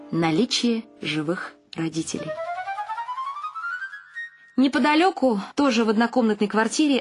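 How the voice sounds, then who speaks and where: A woman acting an exuberant middle-aged mother in a radio play. Her voice sits fairly high and she narrates expressively, like a fond storyteller. Music plays clearly behind her. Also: natural voice. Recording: music under the voice.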